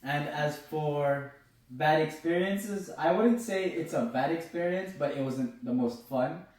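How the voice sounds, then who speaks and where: Young guy co-hosting a podcast, slightly high voice, really echoey, sounds away from the mic. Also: measured pace, a little mellow. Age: young adult. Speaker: podcast co-host. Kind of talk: casual chat. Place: echoey indoor room. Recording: echoey room, distant from mic.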